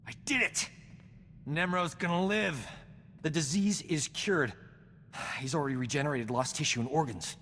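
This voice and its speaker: A man's voice: fairly high pitch, normal speed, long pauses, fairly bright tone. A boastful, charming rogue of a doctor for a game cutscene. He talks in a cocky, self-admiring voice, with long reverb and some noise around it. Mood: triumphant. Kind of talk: video game cutscene acting.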